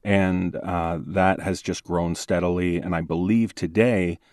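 Low-voiced man in interview conversation. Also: fairly even intonation, measured pace, a little mellow, no room sound, studio quality. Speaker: podcast host and producer, voice actor.

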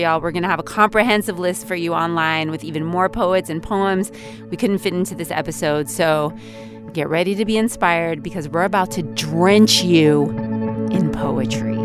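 A woman's voice: on the low side, moderate pace, music in the background. A woman of Iranian heritage co-hosting a podcast, chatting and interviewing with playful banter. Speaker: podcast co-host. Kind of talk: casual chat and interviewing.

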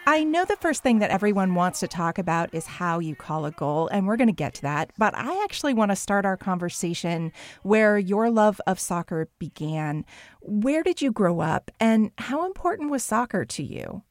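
A woman radio host with a measured, scripted delivery as she sets up and runs an interview. Her mid-range voice sounds dry and close, with no room around it. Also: mid-range voice, sing-song, dry recording.

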